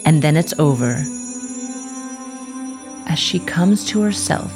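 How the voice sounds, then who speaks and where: A woman's voice: sits low, animated, takes it slowly. A woman narrator telling a scripted story theatrically for an audio drama. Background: music.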